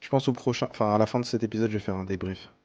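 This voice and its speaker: A man's voice: fairly low pitch, rapid-fire, darkish tone, muffled recording. A man giving casual reaction commentary as a streamer, hesitant and often repeating his words. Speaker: streamer reacting to horror videos.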